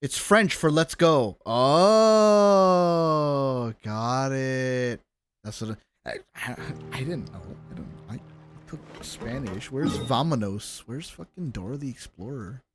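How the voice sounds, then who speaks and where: A man's voice: mid-range voice, expressive, unhurried, fairly bright tone, slightly rough. A man giving casual live game commentary in a brash, slangy, profane and joking way. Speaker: game streamer. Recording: music under the voice.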